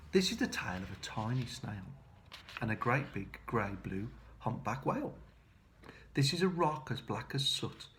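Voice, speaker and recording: Male children's storyteller, medium pitch, expressive rhyming read-aloud, deliberate dramatic phrasing.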